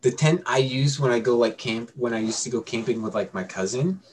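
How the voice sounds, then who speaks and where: A man's voice: medium pitch, fairly quick, darkish tone, rough recording. A young man telling stories in a casual, slangy chat with friends, as a podcast co-host. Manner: slangy, conversational. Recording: clear background noise and ambience.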